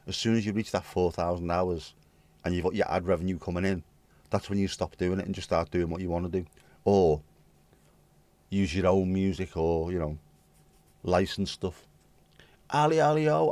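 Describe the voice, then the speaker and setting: A hoarse man with a British accent, chatting casually with viewers on his livestream and swearing bluntly. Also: deep voice, a little mellow, no room sound. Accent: British English. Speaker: livestream host. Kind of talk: casual chat reading viewer comments.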